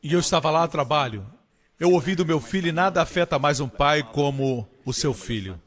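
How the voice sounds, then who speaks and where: A man preaches with strong emphasis, his fairly high voice leaping upward when he drives a point home. A long reverb tail hangs behind every phrase, as if the sound carries through a large hall. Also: expressive, brisk. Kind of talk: Portuguese-dubbed sermon.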